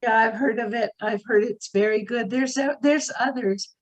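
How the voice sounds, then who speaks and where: Woman losing her voice, clearing her throat, practical advice over a video call. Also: a little high, normal speed. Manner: practical, many fillers. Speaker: expert co-host.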